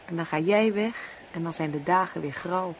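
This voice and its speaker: A woman's voice: on the low side, moderate pace, natural voice. Actress as tender, pleading married woman, faint noise. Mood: wistful, affectionate. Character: affectionate, pleading married woman, anxious to please. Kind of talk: radio drama acting. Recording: radio play with faint background noise.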